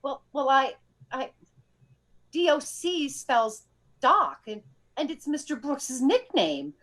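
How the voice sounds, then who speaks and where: A woman's voice: high-pitched, big pitch swings, slow pace, fairly bright tone, heavily acted. A woman playing a polite, dramatic lady visitor in an old-time radio mystery, with a theatrical manner. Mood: flustered.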